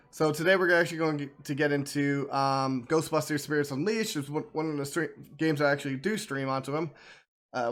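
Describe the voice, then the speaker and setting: Higher-voiced man, rambling streamer chat. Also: fairly bright tone. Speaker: streamer hosting a gameplay video. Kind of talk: casual chat to viewers, reacting to game cutscenes.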